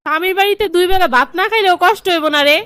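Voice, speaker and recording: A woman's voice: very high voice, very fast, bright, forceful tone, slight background noise. A woman voicing a smug, sarcastic young ex-girlfriend in a comic cartoon, gossipy and mock-sweet, with a Bangladeshi accent.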